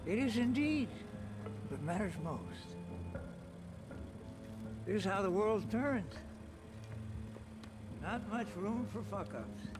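An actor playing an elderly male crime boss in a video game cutscene, calm and unhurried with soothing menace. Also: high-pitched, loud music behind the voice. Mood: quietly menacing. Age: older.

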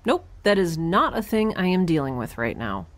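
A woman's voice: fairly low pitch, sing-song, normal speed, no room sound. A woman playing a quirky young scientist in space, recording a chatty, wry spoken log for an audio drama. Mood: musing, wry. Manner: chatty, wry, self-interrupting, informal.

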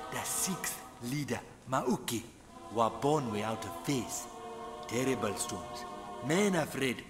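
Guy doing a creepy cannibal chief, broken english, really slow and ominous, game music under it. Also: mid-range voice, natural voice.

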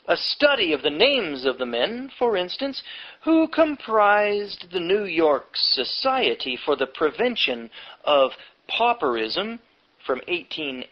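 Slow, emphatic man with swooping pitch. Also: dull audio. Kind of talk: reading a history book aloud with preachy asides.

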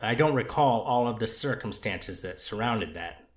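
A man's voice: lowish voice, animated, lightly acted. A man reading a hedging counselor witness, echoey. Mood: measured. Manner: hedging, halting with many restarts. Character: earnest male counselor on the witness stand. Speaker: treatment counselor testifying as a witness. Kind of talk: amateur readers theater reading of a court transcript.

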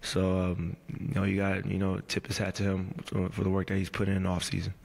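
A man in his thirties gives postgame answers in a low, even voice. He speaks slowly and in a measured way, pausing and restarting often. The table mic carries a long reverb from the press room. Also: gravelly. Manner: measured, halting, many fillers and restarts. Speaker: pro basketball player at postgame press conference. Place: press room before a team backdrop. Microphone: table mic on a stand.

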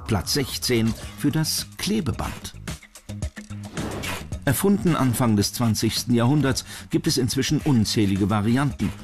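A man's voice: medium pitch, lively intonation, measured pace. A man reading scripted documentary narration as the narrator of the programme. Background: music.

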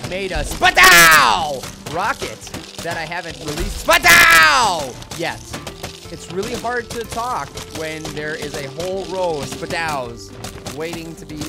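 A young man giving goofy, casual gameplay commentary, slightly hoarse from losing his voice, with sudden loud yelled outbursts. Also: on the high side. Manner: goofy, jokey, bursts into loud yelled shouts. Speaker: gaming commentator. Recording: loud game music behind the voice. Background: video game music.

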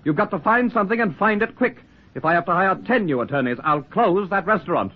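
A man's voice: sits high, expressive, quick pace. An actor playing a snobbish, bossy rich businessman in 1940s radio drama style. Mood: commanding. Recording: dull 1940s radio recording.

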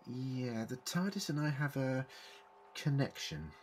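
An actor playing an eccentric, clever time-travelling man in an audio drama, deliberate and formally worded as he explains. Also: medium pitch, slight background noise, natural voice. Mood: measured, explaining.

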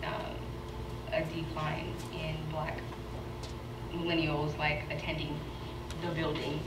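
A young woman with a fairly low voice speaks casually and reflectively on a panel. The sound is video playback in a roomy hall, distant and noisy. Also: completely flat delivery, slow. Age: young adult. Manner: casual, reflective, uses "like" a lot. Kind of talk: panel discussion. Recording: video played in an echoey hall, off-mic, noisy.

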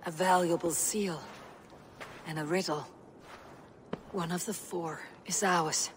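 Stern Viking warrior, noisy ambience. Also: female voice, fairly low pitch, steady tone. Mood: resolute. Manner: blunt, commanding, measured. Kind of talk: dramatic video game cutscene acting.

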